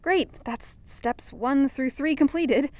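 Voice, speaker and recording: An actress playing an earnest, inquisitive young podcast interviewer in an audio drama, conversational and a little wry. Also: female voice, sits high, slow pace, a little mellow, no room sound. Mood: wry, weary.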